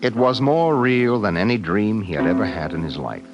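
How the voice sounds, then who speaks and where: A man narrating a vintage 1950s radio sci-fi drama in a grave, deliberate, suspenseful storytelling manner. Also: fairly low pitch, animated. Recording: muffled vintage radio broadcast recording. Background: dramatic music.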